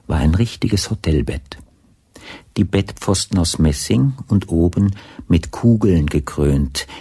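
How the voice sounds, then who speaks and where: An actor narrating a crime radio drama as an unhurried storyteller, measured and deliberate in his literary delivery. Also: deep voice, dark tone, husky, dry recording, clean studio sound, exaggerated voice.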